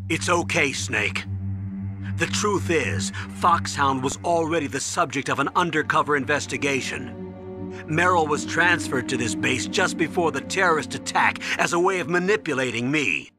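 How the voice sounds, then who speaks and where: An actor playing a loyal, earnest army colonel giving briefings in a video game drama. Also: male voice, a little high, lively intonation, moderate pace, music in the background, close to an everyday voice. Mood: remorseful, resigned.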